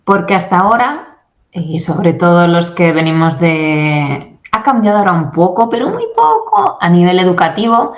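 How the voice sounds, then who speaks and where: A woman in her forties from Spain, a guest coach in a radio interview, talking in an animated way and acting out quoted exchanges. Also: fairly low pitch, normal speed, muffled recording. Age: 40s. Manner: animated, acts out quoted dialogue. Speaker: guest, English communication coach.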